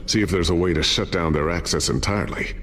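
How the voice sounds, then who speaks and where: An actor playing a loyal, careful inventor and trusted ally in a video game, speaking in a measured, reassuring way. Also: male voice, low-pitched, fairly bright tone, slight background noise. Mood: focused, reassuring. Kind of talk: video game dialogue, explanatory.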